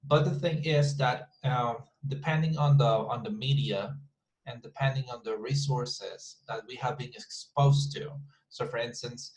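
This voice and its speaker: A man with a Colombian accent teaches an English class over a video call, speaking into his computer mic. His voice sits at a middle pitch, and he restarts his sentences often while calling his students "guys". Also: unhurried, rough recording. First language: Spanish. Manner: repeats words often, talks to the class as "guys". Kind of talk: online class lecture.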